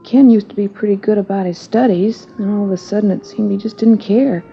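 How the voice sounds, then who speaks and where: A woman's voice: medium pitch, fairly even intonation, a little fast, gravelly, mellow, faint music behind, lightly acted. An actress playing a worried, earnest teenage schoolgirl who answers police questions in a hesitant, chatty way, in 1950s radio drama style. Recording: dull old radio broadcast with hiss.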